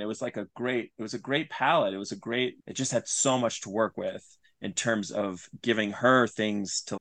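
A man's voice: fairly low pitch, a bit bright, no room sound. A man speaking as an interview guest in casual, reflective conversation, earnest and informal.

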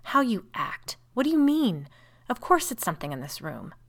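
A stern, defiant sister in a gothic tale, as a woman reader plays her. She is dry and indignant, holding herself rigidly in check while her tongue stays sharp. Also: mid-range voice, expressive, brisk. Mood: furious.

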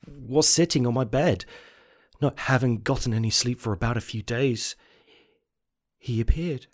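A man playing a desperate, obsessed confessor in a horror story, halting and repeating words. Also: medium pitch. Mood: haunted, hushed. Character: unstable, haunted anonymous confessor on tape, desperate and obsessed. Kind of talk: dramatic first-person horror monologue.

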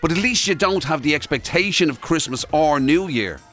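A man's voice: fairly high pitch, lively intonation, brisk, a bit bright, faint music behind. An Irish man co-hosting a radio show, chatting casually with teasing banter.

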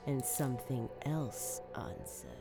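An actress playing a haunted, devout woman giving a solemn, measured dramatic monologue in an audio drama. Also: rumbling low, loud music behind the voice, exaggerated voice. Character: haunted woman confessing a dark religious past.

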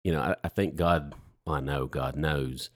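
Guy, super deep voice, dry recording, halting reflective host just chatting. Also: steady tone, no echo. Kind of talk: casual faith conversation.

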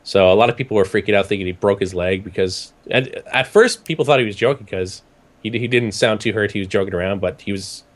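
A young man from Canada, hoarse from a cold, telling a rambling story in casual chat with many restarts. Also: on the low side, quick pace. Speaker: podcast guest recounting a trip.

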